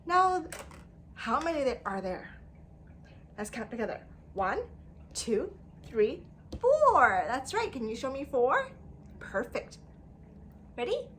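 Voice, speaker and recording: A woman's voice: high voice, big pitch swings, takes it slowly, long pauses, fairly bright tone, slight background noise. A woman leading an interactive storytime lesson for young children, speaking in an exaggerated sing-song way with prompting questions and repetition.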